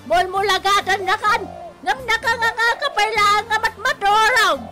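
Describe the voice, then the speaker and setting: A woman playing a fed-up, scolding wife in a radio comedy drama, with a whining, drawn-out delivery. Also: extremely high pitch, steady tone. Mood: nagging. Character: nagging, fed-up wife scolding her lazy husband. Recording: music under radio drama dialogue.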